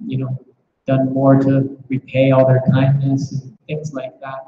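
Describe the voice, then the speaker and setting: A man's voice: mid-range voice, completely flat delivery, measured pace, dark tone, slight background noise. A man leading a scripture class with questions, speaking reflectively and often restarting with repeated words. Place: temple class room. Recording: echoey muffled room recording.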